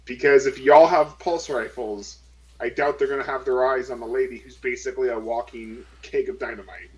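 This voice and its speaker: Mid-pitched man playing a tabletop game. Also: fairly even intonation, normal speed, low-quality recording. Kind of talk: casual chat.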